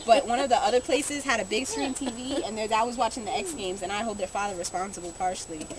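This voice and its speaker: A woman telling a comic anecdote casually to friends, animated and giggly, acting out the dialogue. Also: fairly high pitch, fast, crowd noise. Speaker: amateur storyteller. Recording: very noisy amateur room recording.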